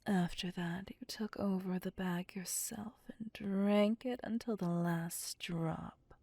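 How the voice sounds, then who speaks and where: A woman playing a possessive, cocky vampire captor in an ASMR roleplay, with a breathy, husky, teasing and domineering whisper. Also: medium pitch, fairly even intonation, dark tone, dry recording. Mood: teasing, smug. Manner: slow, teasing, seductive, domineering. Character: possessive, cocky, sadistic female vampire captor, playfully menacing. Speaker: voice actor performing audio roleplay.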